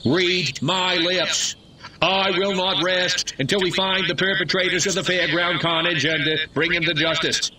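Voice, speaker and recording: An actor playing a glib, condescending American mayor giving a mock political speech in a comic video game. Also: male voice, high-pitched, steady tone, unhurried, far from the mic, noisy background. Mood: glib, pompous. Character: glib, smug small-town mayor addressing townsfolk.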